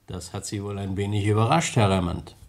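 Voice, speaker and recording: An actor playing a shrewd, gently mocking police detective in an old German radio crime play, pressing his points with pointed questions. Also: on the low side, fairly quick, close to an everyday voice. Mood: mocking, instructive. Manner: rhetorical questions, prodding interjections, pointed emphasis. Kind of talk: mid-20th-century German radio crime drama acting.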